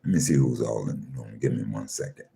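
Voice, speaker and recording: Male host, very deep dark voice with heavy fry, calm and unhurried, live-room chat. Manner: slow, calm, repeats himself, reads names off a screen. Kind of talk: casual livestream chat, greeting listeners.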